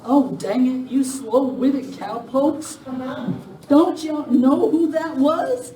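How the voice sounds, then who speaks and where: A woman's voice: high voice, slow, warm tone. A woman playing a sly, indignant outlaw widow in an amateur Western radio play, folksy and theatrical. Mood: defiant, scornful. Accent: put-on Irish brogue turning Texan. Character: sly Irish-posing widow, secret outlaw gang mastermind. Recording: echoey room, distant mic, faint noise.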